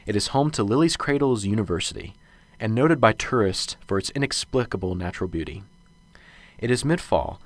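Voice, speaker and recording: A man's voice: on the low side, expressive, brisk, no echo. A man narrating a radio drama, reading scripted scene-setting passages. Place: close, dry recording.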